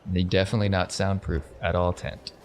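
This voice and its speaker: A man's voice: sits low, level tone, brisk, a little mellow, a little hiss or hum. A man narrating a tabletop role-playing game as game master, in a casual, jokey way.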